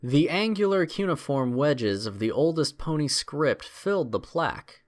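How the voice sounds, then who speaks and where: Lively male story narrator. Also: fairly high pitch, moderate pace. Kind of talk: scripted dramatic story narration.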